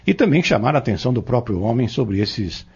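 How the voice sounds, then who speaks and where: A very husky, lowish Brazilian male voice from an actor plays a patient Spiritist instructor in an audio drama. He explains doctrine earnestly, with measured phrases and lively, emphatic swings of pitch. The sound is somewhat dull and lo-fi. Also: heavily acted. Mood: earnest, instructive. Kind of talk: didactic audio-novel dialogue.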